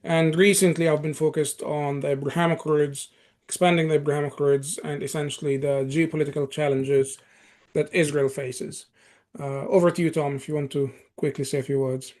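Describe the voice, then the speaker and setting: A man's voice: fairly high pitch, flat delivery, normal speed, darkish tone. A man from Egypt, a security and foreign policy researcher, introducing himself in a friendly, cooperative way on an online panel. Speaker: national security researcher, guest. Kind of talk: conversational panel discussion. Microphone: video call.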